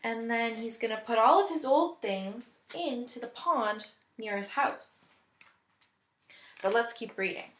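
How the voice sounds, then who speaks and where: A woman reading a picture book aloud as a teacher would, deliberate and expressive, pausing to think aloud. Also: fairly high pitch. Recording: echoey room.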